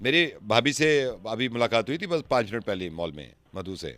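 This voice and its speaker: A man's voice: medium pitch, sing-song, moderate pace, a bit bright. A man who hosts prank calls, talking casually and arguing his point insistently. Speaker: prank call radio host. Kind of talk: prank call acting, casual chat.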